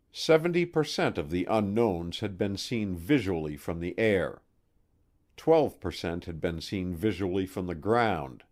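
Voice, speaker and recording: A man narrating a nonfiction audiobook from a script, with measured, deliberate enunciation. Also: sits low, a little mellow.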